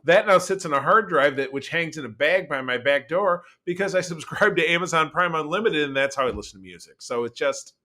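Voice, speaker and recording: A man's voice: on the high side, expressive, brisk, hardly any pauses, pushed and bright. A man hosting a podcast in casual conversation, talking in an emphatic, rambling, opinionated way. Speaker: podcast host.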